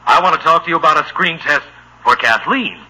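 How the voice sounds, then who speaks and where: Raspy, courteous American talent scout. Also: male voice, on the high side, lively intonation, a little fast, heavily acted, rough recording. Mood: amiable. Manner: courteous, formal, chuckling. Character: polite, smooth-talking male Hollywood talent scout. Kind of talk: 1950s radio situation comedy acting. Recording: old, bright radio broadcast recording.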